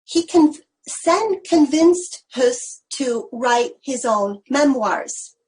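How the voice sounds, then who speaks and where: Husky-voiced woman giving an academic lecture. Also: high-pitched, animated, a little mellow, low-quality recording. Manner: measured, with hesitant fillers. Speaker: research fellow giving a webinar talk. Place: online webinar.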